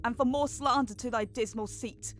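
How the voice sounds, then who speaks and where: A woman's voice: on the high side, moderate pace, faint music behind, close to an everyday voice. A woman playing a dignified, condemned noble prisoner, declaiming solemnly in a Shakespearean audio drama. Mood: solemn lament.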